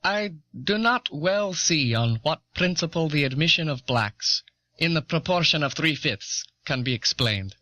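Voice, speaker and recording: An actor playing an indignant eighteenth-century American delegate, putting formal, challenging rhetorical questions in a dramatised historical quotation on a dull old cassette. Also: male voice, a little high, animated, measured pace, sharp tone, dry recording.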